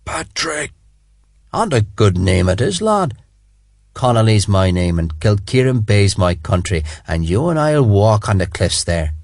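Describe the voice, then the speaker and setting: A man voicing a rough but tender Irish army sergeant, with an Irish brogue and a lilting way of talking. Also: on the high side, sing-song, slow pace, dry recording, studio quality, pitched above the performer's own voice. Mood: soft soothing.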